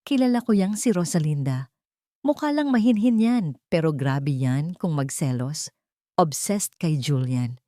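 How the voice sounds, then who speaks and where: A woman's voice: low voice, animated, a little slow, mellow, no echo, clean studio sound. Woman reading as catty online gossip. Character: gossiping, judgmental social media commenters.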